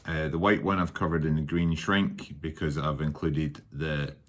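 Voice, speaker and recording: A man's voice: very deep voice, fairly even intonation. A man giving an informal hands-on tutorial, hesitant and thinking aloud as he works. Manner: unhurried, hesitant, thinking aloud while working. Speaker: hobbyist presenter of a workshop how-to. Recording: noticeable background noise while working.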